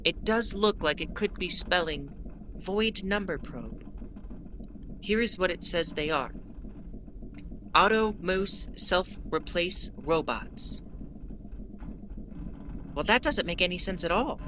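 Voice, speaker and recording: A woman's voice: lowish voice, expressive, slow, long pauses, a bit bright, a little hiss or hum. A woman voicing a winged, four-armed female alien starship captain who speaks in an earnest, explanatory way. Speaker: ship captain. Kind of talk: audio drama narration.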